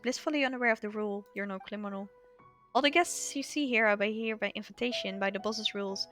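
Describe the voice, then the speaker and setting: A woman's voice: on the high side, a bit bright, natural voice. A woman reading aloud the game dialogue of a courteous, chatty gatekeeper, in polite, formal, wordy phrasing. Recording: music behind the voice.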